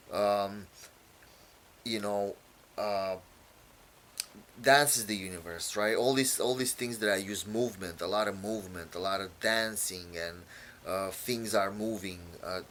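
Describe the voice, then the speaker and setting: A man from Romania, whose first language is Romanian, explains his own poem in a halting way, full of fillers and repeated words. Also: fairly low pitch, a bit bright, slight background noise. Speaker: poet.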